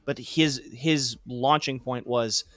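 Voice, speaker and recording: A man's voice: medium pitch, fairly even intonation, slow pace, fairly bright tone. A man co-hosting a podcast in casual chat, blunt and dismissive. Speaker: podcast co-host.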